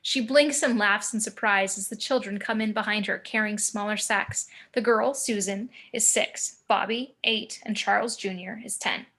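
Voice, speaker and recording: A woman reading screenplay action and scene descriptions in a measured, descriptive manner. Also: mid-range voice, a bit bright. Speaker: narrator.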